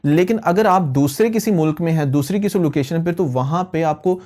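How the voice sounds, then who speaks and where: Male, mellow slightly high voice, explanatory tech host, English phrases mixed in. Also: moderate pace. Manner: mixes English phrases into speech. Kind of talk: conversational explanatory question-and-answer presenting to viewers.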